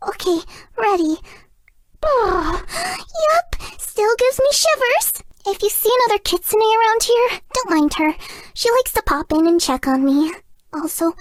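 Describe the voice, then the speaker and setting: Very high, girlish, shy fox-spirit girl. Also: no echo, heavily acted. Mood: bashful, flustered. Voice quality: girlish, cutesy. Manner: bashful, earnest, playful. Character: shy, sweet, excitable young female kitsune fox spirit. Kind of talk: video game character voice lines.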